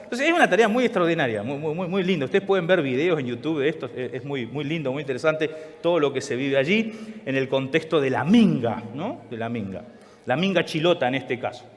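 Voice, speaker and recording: Lively high-voiced preacher in a reverberant hall. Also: male voice, a little fast. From Chile. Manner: conversational preaching, asks the congregation questions. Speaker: pastor. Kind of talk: sermon. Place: large church hall.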